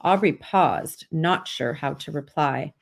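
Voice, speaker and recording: A woman with a slightly low voice reads a children's story aloud. She delivers it as an author sharing her own book in a scripted read-aloud. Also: slow pace, gravelly.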